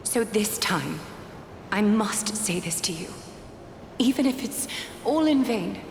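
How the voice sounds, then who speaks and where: A woman playing an ancient, dignified and regretful schemer in a video game, speaking in a measured, solemn, formal way. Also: mid-range voice, animated, noisy background. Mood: regretful, earnest. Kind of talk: video game character acting. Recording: echoey hall reverb, music behind.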